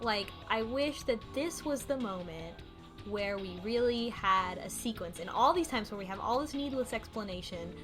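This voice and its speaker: A woman talking casually in a group discussion, in an earnest, analytical way. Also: medium pitch. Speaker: book club podcast panelist. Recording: music playing behind the voice.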